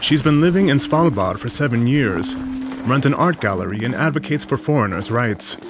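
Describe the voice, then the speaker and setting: A man reading scripted documentary narration in an even, measured way. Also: medium pitch, background noise. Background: music.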